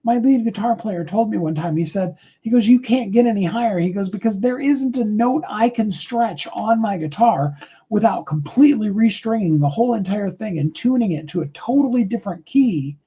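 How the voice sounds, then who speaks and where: A middle-aged man with a high tenor voice, giving a rambling, earnest motivational talk on a live call, full of fillers. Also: normal speed, warm tone, breathy edge, lo-fi sound.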